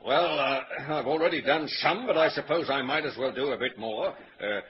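An actor playing an older Australian country farmer and father who talks haltingly, full of hesitations, in a dull old 1930s radio recording. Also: medium pitch, slight background noise, lightly acted. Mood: plain.

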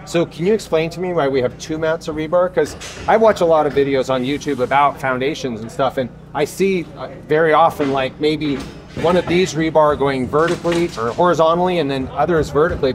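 Curious host asking building questions. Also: male voice, a little high, fairly quick. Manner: curious, asks follow-up questions, frequent okays. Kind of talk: interview questions. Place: outdoor construction site by a basement form wall.

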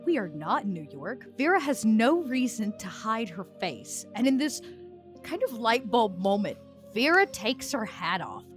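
Animated, higher-voiced woman roleplaying at a game. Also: a little slow, faint music behind. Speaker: tabletop player. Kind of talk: animated in-character roleplay and narration.

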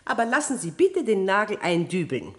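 A woman's voice: medium pitch, very animated, natural voice. A woman playing an amused, no-nonsense countess in a 1960s radio play, giving polite, teasing orders. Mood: mocking, amused. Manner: brisk, teasing, polite commands. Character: amused, no-nonsense countess, adult city-dwelling aristocrat.

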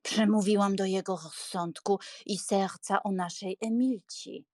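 Actress doing an elderly spinster auntie, plaintive n flustered, slow delivery, dry sound, audio drama. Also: female voice, medium pitch, fairly even intonation, darkish tone, no echo, lightly acted. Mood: flustered, confiding. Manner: plaintive, fretful, easily shocked. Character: flustered, pious, sentimental elderly spinster aunt.

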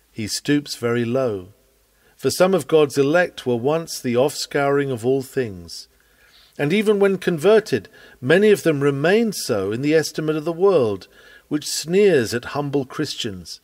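A man reading a scripted sermon aloud in an earnest, measured, expressive preaching manner. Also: on the high side, no echo. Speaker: reader of a historical sermon.

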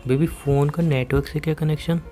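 Mellow young Indian man on a phone call. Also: fairly high pitch, steady tone, brisk. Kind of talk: casual intimate phone chat. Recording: phone call recording, faint music.

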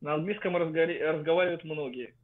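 A man's voice: on the high side, fast. A man in his thirties on a video call with an earphone mic, combative and dismissive. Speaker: chat roulette stranger. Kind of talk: argumentative video chat. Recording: tinny video call line.

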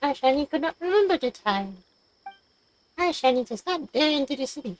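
A woman's voice: high voice, lively intonation, a little slow. A woman casually commenting on a video game and reading its dialogue aloud as she plays. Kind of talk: casual gameplay commentary and read-along.

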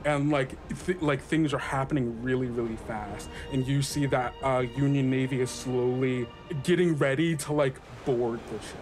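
A man's voice: mid-range voice, moderate pace, few pauses, a little mellow, soft background music. A man hosting a tabletop game podcast as game master, narrating and chatting casually. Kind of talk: casual actual-play game narration and chat.

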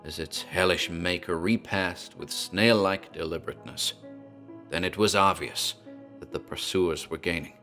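A man with a very low voice reads a horror tale in a measured, grave manner. Soft music sits quietly behind him throughout. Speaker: narrator. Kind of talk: scripted horror narration.

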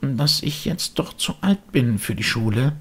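A man's voice: medium pitch, lively intonation, measured pace, fairly bright tone, breathy edge, voice pushed up. A man voicing an eager, naive little wooden puppet boy, exclaiming and pleading in an audiobook. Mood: reluctant. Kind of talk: audiobook character voice.

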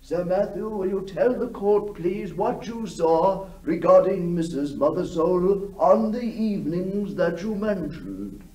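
A man playing a formal, deliberate courtroom questioner in a radio drama. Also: sits high, animated, dark tone, distant mic, voice pushed up. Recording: echoey room, off the microphone.

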